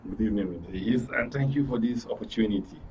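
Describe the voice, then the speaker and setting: A man's voice: on the low side, fast talker, a little mellow. A man speaking politely and formally as a studio guest on a talk show. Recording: dull, noisy, distant studio mic.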